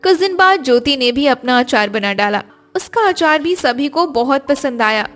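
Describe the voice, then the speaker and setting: A woman's voice: high-pitched, lively intonation, normal speed, a bit bright, soft background music. A woman delivering scripted narration as the storyteller of a family tale.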